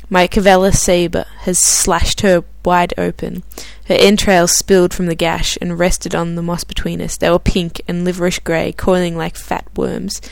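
A woman's voice: lowish voice, creaky, no room sound. Chatty Australian woman podcaster. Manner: chatty and self-deprecating, with filler words. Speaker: podcast host. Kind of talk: casual chat, then reading a novel aloud.

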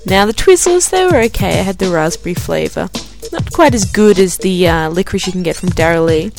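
A woman's voice: fairly low pitch, lively intonation, brisk, vocal fry, music in the background. An Australian woman hosting her own podcast, chatting in a self-deprecating way with filler words before reading a novel aloud. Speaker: podcast host. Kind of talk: casual chat, then reading a novel aloud.

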